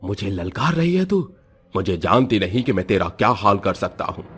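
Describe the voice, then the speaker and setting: A man's voice: lowish voice, sing-song, moderate pace, fairly bright tone, breathy edge, exaggerated voice. A man voicing a stern, confident village holy man in a cartoon horror story, commanding and solemn. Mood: challenging.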